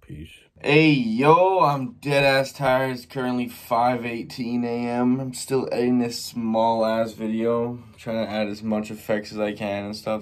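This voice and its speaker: A hoarse young man rambles in easygoing slang, his voice low and gravelly. He talks into a phone held at arm's length in a small bedroom. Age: young adult. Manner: laid-back, slangy, rambling. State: hoarse after a night out. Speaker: vlogger. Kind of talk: casual vlog talk to camera. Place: bedroom, indoors.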